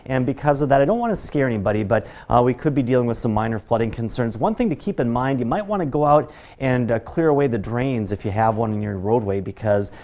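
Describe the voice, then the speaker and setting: A man's voice: medium pitch, expressive, quick pace, darkish tone. American man giving a TV weather forecast. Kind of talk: live broadcast weather forecast, conversational.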